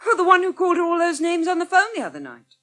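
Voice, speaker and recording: An actress playing a blunt, indignant and protective young flatmate in a naturalistic radio soap, sharp-tongued. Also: female voice, extremely high pitch, rapid-fire, heavily acted. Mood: indignant, accusing.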